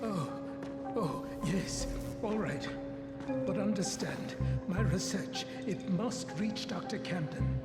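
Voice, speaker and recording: Old male doctor, thin high pitch, unhurried pace, anxious, stubborn, earnest, game cutscene. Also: loud music behind the voice. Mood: anxious, urgent. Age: older. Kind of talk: video game cutscene acting.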